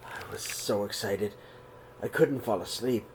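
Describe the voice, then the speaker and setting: A middle-aged man reading a children's picture book aloud in a small room, slow and expressive. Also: on the low side, slight background noise, low-quality recording. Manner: reads slowly and expressively, voices the characters' calls. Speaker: storybook reader. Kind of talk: read-aloud storytelling for children.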